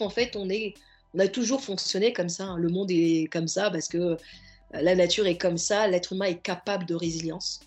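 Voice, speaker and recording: A woman with a somewhat low voice speaks as a podcast guest. She is a therapist and coach who talks earnestly and reflectively. She often circles back to find the right word, with faint music underneath. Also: a little mellow. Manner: earnest, searching for words, stacking restarts. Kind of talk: reflective interview talk.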